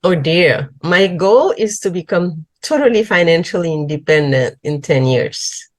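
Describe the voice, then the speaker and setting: An older woman from New York speaks on a video call, slow and gentle, often pausing to find her words. Her voice is somewhat low for a woman. The sound is dry, with no room echo. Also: animated. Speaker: caller. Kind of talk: casual video call chat.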